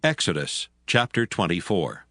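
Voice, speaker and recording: A man narrating scripture in a solemn, measured, formal reading style. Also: sits low, big pitch swings, pushed and bright, no echo, studio quality. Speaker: Bible narrator. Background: music.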